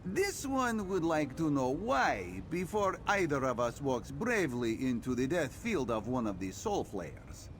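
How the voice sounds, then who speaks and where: An actor playing a sly, thoughtful cat-folk scout in a video game, theatrical and musing in manner. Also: male voice, medium pitch, big pitch swings, unhurried, few pauses, slight background noise. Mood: wry, cautious. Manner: theatrical, musing, refers to himself in third person. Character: sly, thoughtful cat-folk male scout, speaks of himself in third person. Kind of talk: video game character dialogue.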